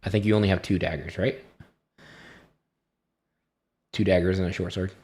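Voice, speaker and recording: A man acting as game master, casually and haltingly narrating a tabletop fantasy game. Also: low-pitched, little pitch movement, a little mellow.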